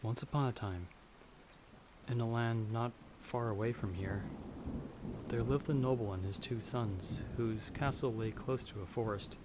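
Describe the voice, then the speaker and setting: A man's voice: mid-range voice, unhurried, background noise, natural voice. A man playing a timid, polite young farmer in an ASMR fantasy roleplay, speaking hesitantly and a little formally. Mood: uneasy storytelling. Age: young adult. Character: timid, polite young male farmer traveler, easily frightened. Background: stormy night ambience.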